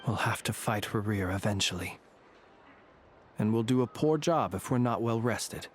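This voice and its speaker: An actor playing a courteous, secretive lantern keeper in a video game, speaking in a formal, measured, wry way. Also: male voice, fairly low pitch, expressive, slight background noise, close to an everyday voice. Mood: composed, pondering. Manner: formal, measured, dryly ironic.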